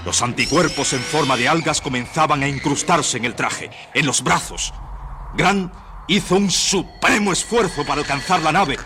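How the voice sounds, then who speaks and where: A man's voice: on the high side, moderate pace, music in the background. A man narrating a suspense radio play in a dramatic, scripted style.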